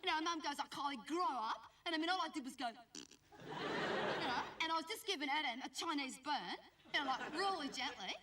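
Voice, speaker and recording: A very noisy recording, distant from the mic, of a comic monologue. a gossipy Australian teenage girl with a high-pitched voice. She rambles in crude schoolyard slang, indignant and aggrieved. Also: lively intonation, normal speed. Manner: rambling, slangy, aggrieved, mimicking family and friends. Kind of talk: comic character monologue to a live audience.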